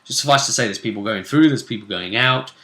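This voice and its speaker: A man's voice: mid-range voice, quick pace. A man hosting a tabletop role-play show, chatting casually through a game recap and throwing out rhetorical questions. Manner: animated, conversational, asks rhetorical questions.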